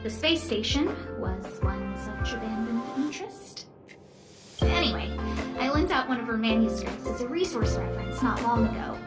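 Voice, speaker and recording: A high, girlish young researcher for a game , voiced by a woman, wealthy and caring. She talks expressively and conversationally, with some echo and music behind her. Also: animated, moderate pace, lightly acted. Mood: explanatory. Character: young female lead researcher, wealthy, cheerful, caring stargazer. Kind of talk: video game character dialogue.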